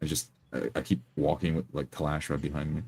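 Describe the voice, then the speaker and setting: A man's voice: a bass voice, level tone, fast, darkish tone, husky. A man playing a tabletop roleplay, speaking firmly in character and describing his own actions.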